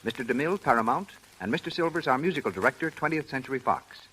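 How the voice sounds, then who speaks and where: A man's voice: medium pitch, expressive, fast, sharp tone, slight background noise, low-quality recording. A man reading scripted announcements in the style of 1930s radio, on an old broadcast.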